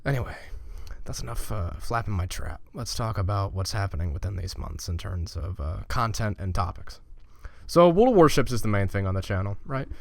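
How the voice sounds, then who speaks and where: A man's voice: fairly low pitch, lively intonation, a little fast, vocal fry, no echo. A young man giving a casual, profane channel update as a gaming YouTuber, loose and chatty with lots of fillers.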